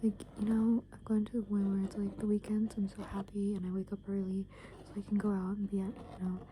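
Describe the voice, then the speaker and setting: A young adult woman rambles in a breathy ASMR whisper, hesitating and leaning on filler words as she goes. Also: mid-range voice, completely flat delivery, fast, warm tone, low-quality recording. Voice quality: breathy, mostly unvoiced whisper. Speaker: ASMR video creator. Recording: clear background noise.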